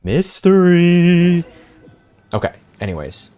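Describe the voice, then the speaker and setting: Young dude doing casual game reactions, excitable slang, voice swings around a lot. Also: male voice, sits high, takes it slowly. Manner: slangy, excitable, repeats himself. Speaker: gaming streamer.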